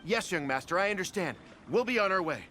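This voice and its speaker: A man's voice: high-pitched, animated, brisk, pushed and bright, slight background noise. A man voicing a tough, loyal guard who serves a young master in a video game. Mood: obedient. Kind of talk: English video game voice acting.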